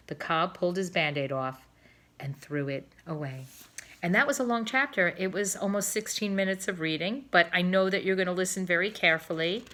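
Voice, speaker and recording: A woman reads a children's book aloud. Her voice sits a little low and has a bright, forceful edge. Her delivery is expressive and emphatic. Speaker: storybook reader.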